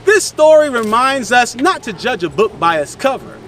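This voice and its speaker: A man's voice: very high-pitched, very animated, moderate pace, slight background noise. A man hosting and telling a children's story in a theatrical, singsong delivery. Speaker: storyteller, host. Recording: slightly distant, under loud music. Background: music.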